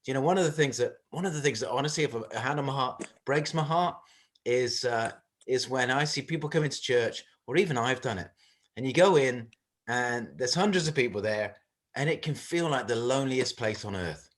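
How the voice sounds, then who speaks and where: A man's voice: medium pitch, fairly quick. A man speaking earnestly and informally to a group as a church leader on a video call, often checking that listeners follow.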